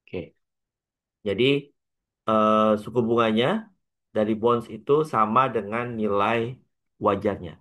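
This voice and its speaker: A man with an Indonesian accent lecturing as a teacher, working through an example step by step in a halting, repetitive way with frequent checking tags. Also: fairly low pitch, flat delivery, bright, forceful tone. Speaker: accounting lecturer.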